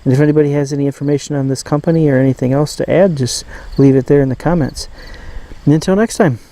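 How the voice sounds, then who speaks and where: Male hobbyist host, soft mellow voice, informal show-and-tell, halting delivery that thinks aloud. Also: fairly high pitch, steady tone, dry recording. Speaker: host of a radio collecting channel. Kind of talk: casual hands-on gadget demonstration.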